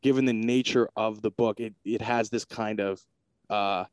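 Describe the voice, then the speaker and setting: Man, interviewer, low voice, smooth and resonant, dry sound, halting fillers. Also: fairly even intonation, no echo. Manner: hesitant, full of fillers and restarts. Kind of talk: casual interview chat.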